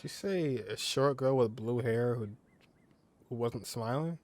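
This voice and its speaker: A man's voice: mid-range voice, a little slow, a little mellow. A man giving casual gameplay commentary, muttering offhandedly to himself as he plays. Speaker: gamer, streamer. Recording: game music behind voice.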